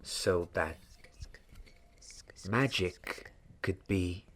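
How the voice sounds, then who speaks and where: A young man playing a gentle, mysterious wizard wand maker in a soft, half-whispered ASMR roleplay, speaking deliberately in short phrases. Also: low voice, exaggerated voice. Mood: instructive, reverent. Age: 20s. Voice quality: hushed, breathy, half-whispered. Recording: audible background hiss, small clicks.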